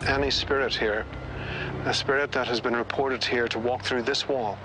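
A man's voice: medium pitch, steady tone, normal speed. A man talking on location as a paranormal investigator, leading an investigation. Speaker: paranormal investigator, team member on a TV show. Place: on location at an old fortress. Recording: noisy outdoor location sound.

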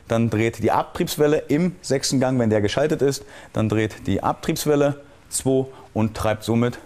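A man speaks as a technical instructor, walking step by step through an explanation. His pitch sits in the ordinary middle range for a man. He often halts mid-sentence to search for the right term before carrying on. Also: darkish tone.

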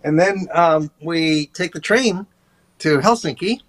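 An older man, a tour guide, chatting over a video call in a relaxed, rambling way with many fillers. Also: fairly high pitch, slow. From USA. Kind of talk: casual interview talk. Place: home office, indoors.

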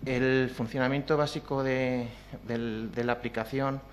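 A man's voice: medium pitch, steady tone, a little slow, a little hiss or hum. A man with a Spanish accent presenting a technical talk at a conference, hesitant with many fillers and restarts. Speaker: conference speaker. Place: lecture hall with projected slides.

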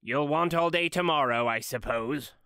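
A man's voice: a little high, normal speed, pushed and bright, clean tone, no echo. A man voicing a cold, grudging old miser in a dramatic storybook reading, curt and scornful. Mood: grudging, grumbling.

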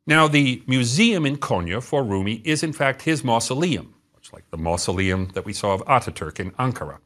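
Middle-aged male lecturer, lively melodic delivery, close lapel sound from a studio set. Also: mid-range voice. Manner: expressive, gesturing, storytelling with dramatic pauses. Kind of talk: scripted travel lecture to camera.

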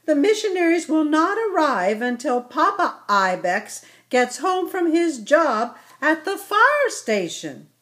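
A gentle, patient mother ibex from a children's storybook, as a woman reading aloud puts her on. Her voice sits high and lilting, soothing and motherly. She speaks with a slow, reassuring calm. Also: heavily acted.

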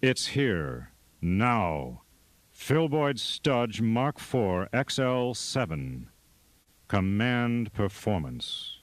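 A man's voice: lowish voice, very animated, drawn-out words, heavily acted. A man playing a portentous car commercial announcer in a radio parody ad. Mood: grand, portentous. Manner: portentous, dramatic. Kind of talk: parody advertisement narration.

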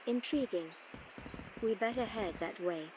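A woman playing an earnest, composed archaeologist recording measured, articulate expedition audio logs for a game. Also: fairly high pitch, noisy background, close to an everyday voice. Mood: intrigued, businesslike. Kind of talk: scripted audio-log narration, game voice acting.

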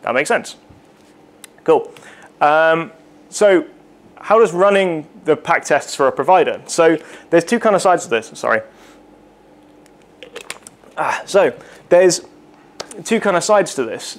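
A young man giving a casual, rambling technical talk at a meetup, with lots of fillers and asides. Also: on the high side. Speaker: conference speaker. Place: meetup room in front of a projected slide.

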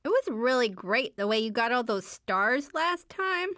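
A woman's voice: a little high, big pitch swings, measured pace, a bit bright, no room sound. A woman playing a shy, wry teenage superhero girl in a video game, hesitant and understated, with dry earnestness. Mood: shy, friendly. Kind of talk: video game character voice lines.

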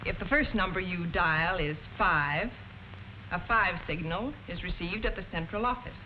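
A woman narrating as a prim, patient telephone instructor in a 1940s educational film, measured and didactic, on an old muffled soundtrack. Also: on the low side, slight background noise, close to an everyday voice. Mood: patient, instructive.

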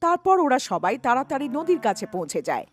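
Woman narrating a cartoon story, high voice, very up and down, scripted. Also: very animated, quick pace.

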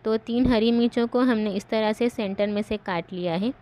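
A woman host giving calm, step-by-step voice-over narration for a home cooking recipe. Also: fairly high pitch, normal speed.

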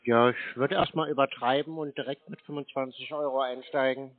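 A man on a phone call, answering in a flat, deadpan way and playing dumb. The sound is thin and tinny, close and dry. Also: medium pitch, a little fast, no echo. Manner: deadpan, mock-naive replies. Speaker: prank caller.

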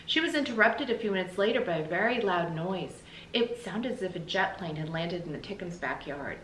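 A middle-aged American woman reading a children's story aloud in an animated, emphatic, explanatory way, like a teacher. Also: lowish voice, a little fast. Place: small indoor room with bookshelves. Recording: roomy echo, faint background noise.

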